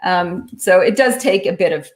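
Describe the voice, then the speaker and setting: A woman's voice: fairly low pitch, animated, normal speed. A woman in a live online interview, explaining her leadership consulting work in an articulate, explanatory way. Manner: articulate, explanatory, lightly laughing. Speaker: guest, leadership author and consultant.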